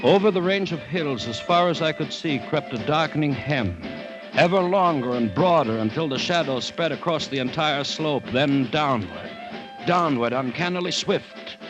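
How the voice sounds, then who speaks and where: An actor playing a cautious, alarmed government commissioner, narrating a jungle ordeal in formal, suspenseful old-time radio drama style. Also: male voice, on the high side, animated, normal speed, highs cut off, natural voice. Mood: grave dread. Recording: vintage radio recording with background music.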